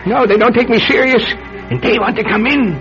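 An actor playing a paranoid, secretive old man who mutters haltingly to himself in an old-time radio drama. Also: very high-pitched, music in the background. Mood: panicked. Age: older. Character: old frightened, paranoid, secretive male recluse. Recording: dull lo-fi radio drama recording.

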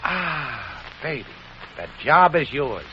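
Brash, impatient racketeer boss, fairly high and lively, muffled vintage radio recording. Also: male voice, takes it slowly, exaggerated voice. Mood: bossy. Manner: gruff, impatient, barking orders. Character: brash gangster boss hiring servants. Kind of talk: 1940s radio acting. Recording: dull old radio recording with hiss.

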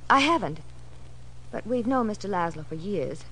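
Frightened young American woman: mid-pitched, earnest, evasive, vintage radio acting, faint noise. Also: lively intonation, moderate pace, pushed and bright, poor audio. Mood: evasive, defensive. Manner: earnest, anxious. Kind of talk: 1940s radio drama acting.

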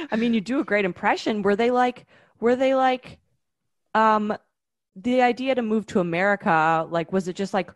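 A woman co-hosting a casual podcast, throwing out quick joking questions. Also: mid-range voice, no room sound. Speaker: podcast co-host.

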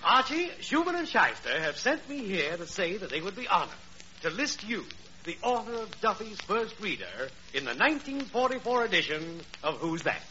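An old, dull radio recording carries a hearty, smooth-talking swindler. His voice is forceful and fairly high, with lively swings as he lays on the flattery. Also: moderate pace, slight background noise, full character voice. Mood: hearty, flattering. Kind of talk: 1940s radio comedy acting.

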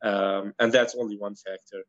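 A man from Syria whose first language is Arabic, speaking in a fairly low voice. He answers carefully, with many fillers and restarts as he thinks. The sound is dry and a little dull, lo-fi. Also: fairly even intonation, no room sound. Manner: measured, thoughtful, many ums and restarts. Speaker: guest, internal medicine resident. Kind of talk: interview answers.

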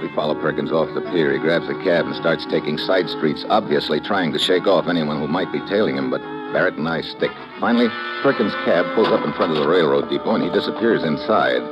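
Deep-voiced hard-boiled detective. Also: male voice, a little fast, few pauses. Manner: laconic, matter-of-fact narration in present tense. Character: hard-boiled American male detective narrating. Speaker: private investigator and narrator. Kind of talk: 1950s radio drama acting. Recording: dull old radio recording with music.

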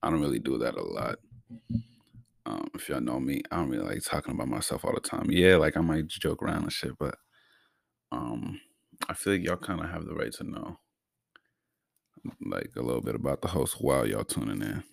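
Very deep, gravelly young man. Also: expressive, fairly quick, long pauses, dry recording, clean studio sound. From Brockton, Massachusetts. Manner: slangy, laid-back, stutters at times. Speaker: podcast host. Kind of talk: casual solo podcast talk.

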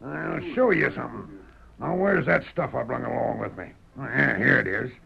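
An actor playing a blustering, crooked rural western sheriff with a raspy voice and a folksy American drawl in a radio drama. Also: male voice, mid-range voice, normal speed, heavily acted. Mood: smug, blustering. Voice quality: raspy, creaky. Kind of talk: old-time radio western acting. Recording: muffled old radio broadcast.

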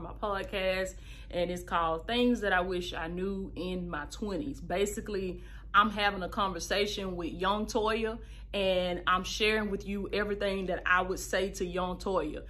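A woman, a podcast host giving heartfelt life advice in a preachy, animated way, repeating phrases for emphasis and calling listeners baby. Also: medium pitch. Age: 30s. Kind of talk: casual advice monologue.

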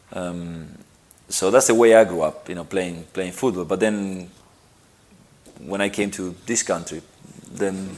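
A middle-aged man from Argentina answering interview questions, halting and repeating himself, with lots of "you know". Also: lowish voice. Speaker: interviewed footballer.

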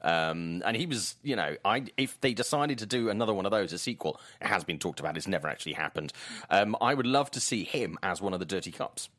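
A man's voice: low-pitched, lively intonation, quick pace, a bit bright, dry recording. A man from Wales with a Welsh accent, co-hosting a casual film podcast chat. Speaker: podcast co-host.

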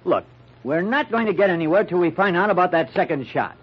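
An actor playing a brash, wisecracking newspaper reporter in an old-time radio mystery, with blunt, slangy, sardonic delivery. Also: male voice, sits high, animated, a little fast, breathy edge, highs cut off. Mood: commanding.

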